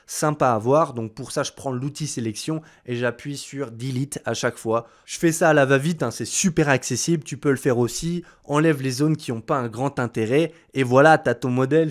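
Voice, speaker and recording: A young man talking the viewer through a software tutorial in a casual, joking, chatty way, as if to a friend. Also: mid-range voice. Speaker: tutorial host. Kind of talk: casual screen-recorded tutorial.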